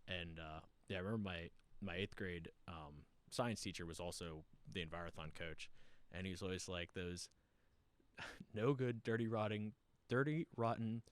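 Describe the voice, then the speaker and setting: A man hosting a casual podcast chat, easygoing and quick to laugh, with lots of fillers. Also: deep voice, dry recording. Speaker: podcast host.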